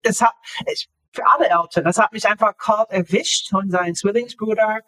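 Halting, bright-voiced woman guest. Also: mid-range voice, rough recording. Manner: halting, searching for words with many fillers. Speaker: author, interview guest. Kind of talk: casual interview talk.

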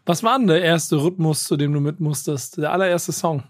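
A man with a somewhat high voice hosts the interview, asking questions while hesitating and hunting for the right words. The sound is dry and close. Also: steady tone, a little fast, no echo. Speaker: interviewer, podcast host.

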